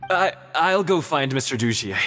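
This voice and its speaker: A man's voice: a little high, animated, moderate pace, big-room sound, loud music behind the voice, natural voice. A man playing a big, nervous, evasive game character who stammers and hesitates. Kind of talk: video game character dialogue.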